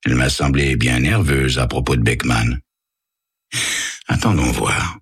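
A man playing a composed private detective who speaks in a measured, courteous way. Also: rumbling low, husky, pitched below the performer's own voice, heavily acted. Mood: calm. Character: composed male private detective, calm and polite.

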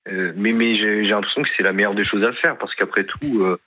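A man calling in to a radio show, speaking hesitantly and haltingly, full of fillers. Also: on the low side. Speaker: caller. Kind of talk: phone-in conversation. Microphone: telephone. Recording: tinny phone line.

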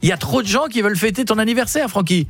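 Playful male radio host, fairly high voice. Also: animated, very fast, husky. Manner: playful, joking, mock-dramatic exclamations. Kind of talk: bantering light news chat. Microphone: close studio microphone.

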